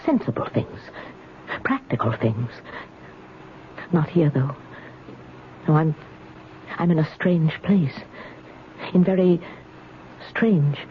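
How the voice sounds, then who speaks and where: Noisy old radio mystery, elderly spinster, timid, fretful, halting speech, highly animated intonation. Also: female voice. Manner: fretful, halting, repeating words. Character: timid, frightened, lonely old spinster in her house. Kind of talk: 1970s radio mystery acting. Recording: noisy, dull 1970s radio broadcast recording.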